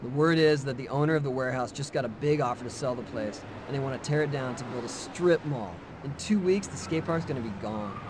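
A man's voice: medium pitch, brisk, few pauses, close to an everyday voice. An actor playing a friendly, earnest young male skateboarder in casual video game cutscene dialogue. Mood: grim. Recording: game audio with faint background noise.

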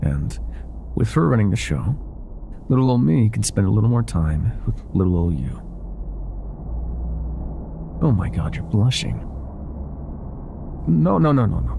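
A man playing an older ex-mafia boyfriend in an intimate ASMR roleplay, speaking softly and affectionately with some hesitation. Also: fairly low pitch, creaky, slight background noise. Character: older ex-mafia boyfriend, tender, teasing, vulnerable bar owner.

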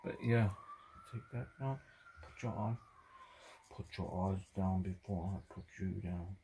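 A man's voice: sits low, unhurried, dark tone, slight background noise. A young man giving a casual step-by-step barber tutorial while cutting hair in a parked van, rambling and joking, with the odd swear.

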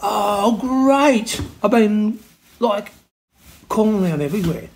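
A man putting on an eager, bumbling comic caller with a strikingly high voice for a male. He fumbles his English, hesitates over words and repeats himself as he muddles through a prank phone call. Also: lively intonation, takes it slowly, slight background noise, exaggerated voice. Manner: hesitant, repetitive, muddled word-searching. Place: home kitchen, indoors. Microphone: camera close to face, phone on speaker.

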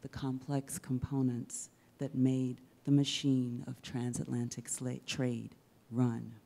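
A middle-aged woman reads poetry aloud into a stage microphone. Her voice is low and deeply mellow, and she takes her time, unhurried and measured. The delivery is dramatic spoken word, with rhetorical weight and deliberate pauses. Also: flat delivery, gravelly. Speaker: poet reading at an event. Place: indoors on a dark theater stage. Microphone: handheld-style microphone on a stand, close.

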